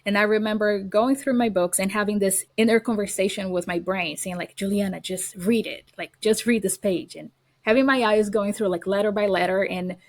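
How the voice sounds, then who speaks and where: A woman with a Brazilian accent, speaking English as her second language, talks about her background as an interview guest. Her voice sits at a mid pitch, and she tells her stories earnestly, pausing on fillers and restarting her sentences. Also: steady tone. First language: Portuguese. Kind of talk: casual interview.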